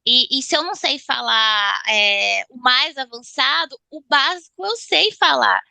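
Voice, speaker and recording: A woman with a Brazilian accent speaks casually as an interview guest. Her voice is fairly high and bright, with a noticeable creak. She moves haltingly, often repeating a word while she looks for the next one. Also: lively intonation, no room sound. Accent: Brazilian Portuguese. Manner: halting, repeats words as she searches for phrasing. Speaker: interview guest, English student.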